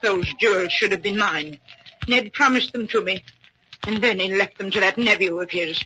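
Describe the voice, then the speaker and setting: An actress playing a bitter, scheming old widow in a 1940s radio thriller. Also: female voice, medium pitch, moderate pace, sharp tone, highs cut off, natural voice. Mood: spiteful. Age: older. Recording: noisy vintage 1940s radio recording.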